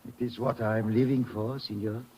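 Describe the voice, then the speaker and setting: A man's voice: lowish voice, fairly even intonation, moderate pace, slightly rough. An actor playing a sick, weary old man, plaintive and earnest, in 1950s radio drama style. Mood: weary, hopeful. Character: sick, weary, self-sacrificing old man dreaming of America. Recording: muffled old radio recording, reverberant, noisy.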